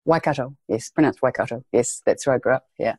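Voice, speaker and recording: A woman's voice: extremely low pitch, quick pace, a little mellow, breathy edge, dry recording. A woman from New Zealand with a New Zealand accent, speaking earnestly as a podcast guest and repeating phrases for emphasis. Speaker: guest, education leader. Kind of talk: podcast interview.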